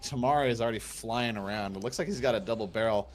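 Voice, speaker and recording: A man with a fairly low voice calls a game tournament stream, explaining strategy in a loose, chatty way. He drops dry jokes between the analysis, with faint ambience behind him. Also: fast talker, slight background noise. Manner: analytical, dry joking asides. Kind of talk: casual livestream game commentary.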